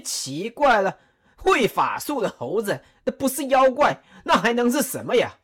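A woman's voice: fairly high pitch, big pitch swings, measured pace. A woman voicing a curious, joking male warlord in a radio drama. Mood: teasing. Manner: teasing, playful, whiny.

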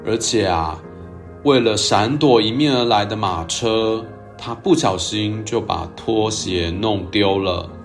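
A man hosting a storytelling show, narrating for young children in a gentle, expressive way. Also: on the low side, slow pace, soft background music. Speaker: children's storytelling podcast host.

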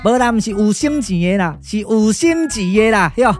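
A man's voice: sits high, expressive, moderate pace, faint music behind. A man voicing an eager, fussy male servant in a puppet radio comedy scene, chattering and repeating himself. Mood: fussing, bustling. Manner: repetitive, bustling chatter. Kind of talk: Taiwanese glove-puppet radio drama.

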